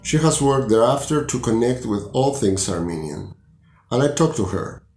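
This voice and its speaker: A middle-aged man from Argentina speaks English with a Spanish accent. He narrates in an earnest, slightly formal, measured way. The voice sits at an average pitch in an echoey room, with soft music playing underneath. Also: animated. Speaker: university physics professor. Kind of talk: reflective personal narration.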